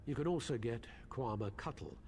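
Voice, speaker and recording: A man's voice: medium pitch, lively intonation, moderate pace, a little mellow, a little hiss or hum, close to an everyday voice. A man voicing a timid, hesitant mine keeper who is hurt and pleading, in a video game. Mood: explaining. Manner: hesitant, stammering.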